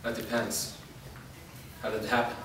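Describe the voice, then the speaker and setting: A man playing a wounded, resolute part-vampire fighter in a staged reading, speaking in a serious, measured, explanatory way. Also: lowish voice, completely flat delivery, close to an everyday voice. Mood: measured, explaining. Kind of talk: staged reading of a fantasy drama. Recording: echoey room, distant mic, faint noise.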